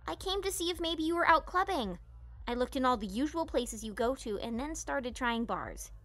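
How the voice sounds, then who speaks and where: A woman's voice: high-pitched, lively intonation, moderate pace, a bit bright, dry recording. A woman playing a perky, confident mob princess in her early twenties, girlish and eager, in an audio drama. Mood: worried.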